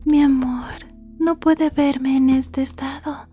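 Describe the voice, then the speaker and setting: A creepy sweet yandere schoolgirl, asmr roleplay, high soft mellow voice, murmuring slow threats. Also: steady tone, faint music behind. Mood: dreamy, obsessed. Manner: slow, breathy murmurs, gloating, mock-polite menace. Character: sweetly menacing, obsessive yandere schoolgirl in love.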